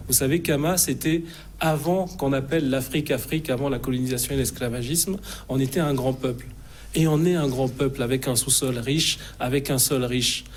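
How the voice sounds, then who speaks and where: A man's voice: medium pitch, a little mellow, slight background noise. A middle-aged man from the Republic of the Congo, a guest on a TV news programme, speaking assertively with frequent fillers and restarts. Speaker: interview guest, think tank president. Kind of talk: TV news studio interview. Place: TV news studio.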